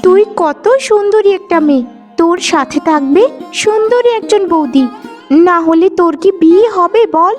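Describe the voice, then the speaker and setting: A woman's voice: extremely high pitch, moderate pace, soft background music. A woman voicing a vain, meddling young female rival in a melodramatic cartoon, gossiping and goading with exclamations. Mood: sly, goading. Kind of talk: melodramatic moral-story cartoon acting.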